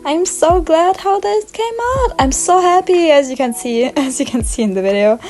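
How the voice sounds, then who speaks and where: Young German-accented woman, high and bright, rambling casual vlog voice-over over light music. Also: animated, moderate pace. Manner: bubbly, rambling, giggly. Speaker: art vlogger.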